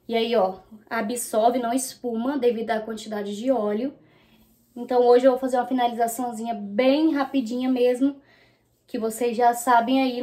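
High-voiced chatty woman styling her hair. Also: darkish tone. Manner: chatty, addresses viewers as "gente", talks while styling her hair. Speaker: beauty vlogger. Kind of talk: casual hair tutorial and product review. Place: small bedroom, indoors.

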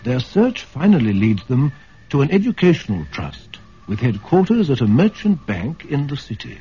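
A man's voice: medium pitch, big pitch swings, normal speed, warm tone, breathy edge, dull audio. A male radio announcer reading a scripted introduction to a drama in a vintage broadcast. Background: faint music.

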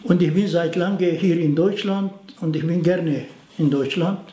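Older man, halting. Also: on the high side, mellow, reverberant, slight background noise. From Turkey, near the Syrian border. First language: Aramaic. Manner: halting, frequent fillers, non-native grammar. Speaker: interviewee. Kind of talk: explanatory talk to camera. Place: indoor study in front of bookshelves.

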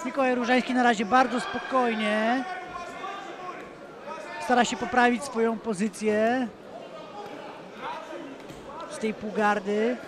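High-voiced male fight commentator, flat delivery. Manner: calm, measured, rises sharply at big moments. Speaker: play-by-play commentator. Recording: loud arena crowd behind. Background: crowd.

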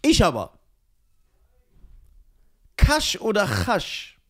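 Animated higher-pitched man bantering. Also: very slow. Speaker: podcast co-host. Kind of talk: casual comedic banter.

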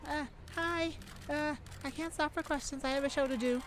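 A woman acting an exhausted, anxious pop star confiding haltingly in a bodyguard lover, in an intimate ASMR roleplay. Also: high-pitched, steady tone, a faint crowd, a little hiss or hum, natural voice. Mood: flustered. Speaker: audio roleplay performer.

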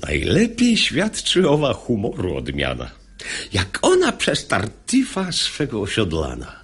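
An actor playing a sensible, wry uncle, an urbane gentleman in a classic radio verse comedy. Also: fairly low pitch, huge pitch swings, unhurried, husky, full character voice. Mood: wry amusement.

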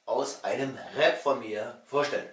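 A man's voice: medium pitch, normal speed. A middle-aged man speaking to camera in a jokey, conversational comedy monologue, recorded in an echoey room at home. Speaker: comedian and cabaret artist. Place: small rooms of a flat, indoors.

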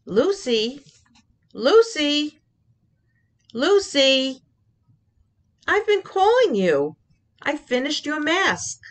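A woman voicing a patient, encouraging mother of a young girl in a measured storybook reading. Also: sits high, sing-song, pitched above the performer's own voice, exaggerated voice. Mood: calling.

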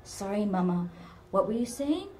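A woman voicing a meek, anxious grown daughter who apologizes hesitantly in a hushed voice within a story reading. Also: mid-range voice, sing-song, normal speed, reverberant, a little hiss or hum, close to an everyday voice. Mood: apologetic.

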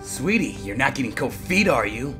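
A man playing a cocky, impatient young anime villain, taunting with a gravelly voice in a fan audio drama. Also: medium pitch, very animated, normal speed, lightly acted. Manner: taunting, sneering. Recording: music clearly behind the voice.

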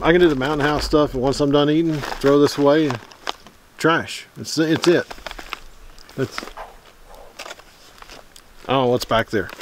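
A man, talking casually to camera at a wooded campsite, easygoing and rambling with many pauses and ums. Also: fairly high pitch. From Middle Tennessee. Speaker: outdoors vlogger. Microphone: camera mic at close range. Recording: outdoor recording with clear background noise.

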